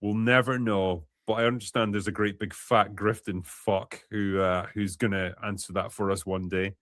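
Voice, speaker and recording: Low-pitched male commentator with a scornful tone and a dry, distant sound. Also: fairly even intonation, normal speed, fairly bright tone, no room sound. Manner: scornful, mocking. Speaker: commentator in a played clip.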